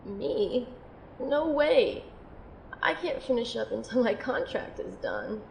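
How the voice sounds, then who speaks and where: A woman's voice: a little high, expressive, slow, creaky, darkish tone, roomy sound, slight background noise, heavily acted, poor audio. A woman playing a wounded young soldier and healer in an audio drama, speaking in a casual, wry way. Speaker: voice actor in an amateur audio drama. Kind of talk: acted dialogue in an audio drama.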